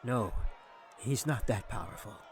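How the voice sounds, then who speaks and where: A man's voice: lowish voice, lively intonation, measured pace, some chatter behind. A man voicing a kindly, weary, devout dwarf who speaks earnestly in a fantasy audiobook, over noisy outdoor ambience.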